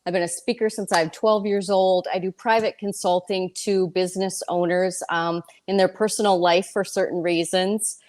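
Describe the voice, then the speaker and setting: A woman's voice: fairly low pitch, normal speed, hardly any pauses. Candid woman in her fifties, chatting. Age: 50s. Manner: animated storytelling, quotes herself and others, colloquial. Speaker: interview guest, real estate broker and speaker. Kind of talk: casual, candid interview chat.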